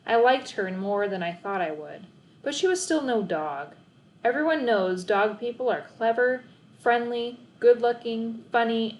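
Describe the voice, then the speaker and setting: A woman narrating a children's book as an expressive read-aloud storyteller. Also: medium pitch, rough recording.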